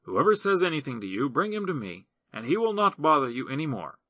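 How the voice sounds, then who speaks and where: A man's voice: a little high, sing-song, fairly quick, pushed and bright, no echo, exaggerated voice. A man reading a king giving firm assurances. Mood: assuring.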